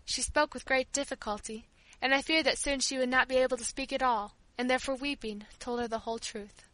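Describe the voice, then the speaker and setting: Lady reading as a kind grieving old maid servant, fond and rambly, bright high voice, audiobook. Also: steady tone, fairly quick, no room sound, rough recording. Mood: gentle, recounting. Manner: tender, fond, rambling storyteller. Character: kindly, grieving old female family servant. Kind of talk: audiobook character dialogue.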